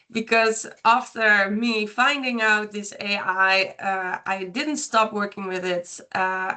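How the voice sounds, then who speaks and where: Dutch woman giving a webinar talk over a video call, bright voice, slow and friendly. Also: medium pitch. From Netherlands. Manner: friendly and enthusiastic, with occasional laughs. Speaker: webinar presenter. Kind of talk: presentation.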